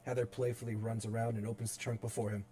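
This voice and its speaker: A man's voice: lowish voice, level tone, quick pace, warm tone. A man reading stage directions as narrator in a dramatic screenplay table read. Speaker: narrator and reader.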